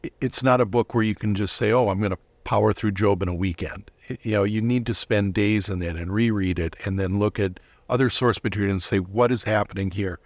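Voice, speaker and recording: A man's voice: on the low side, brisk, hardly any pauses, no echo. A man giving earnest, explanatory answers as a guest in a conversational interview. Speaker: interview guest, volunteer Bible reader.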